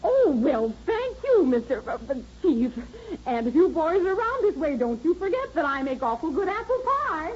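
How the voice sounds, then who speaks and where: An actress playing a garrulous, flattered, homely American shopkeeper who talks in flustered colloquial style in an old radio drama. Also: female voice, very high voice, normal speed. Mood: bashful, friendly. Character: chatty, folksy, flattered small-eatery proprietress. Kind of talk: 1930s radio acting. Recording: muffled, noisy vintage radio recording.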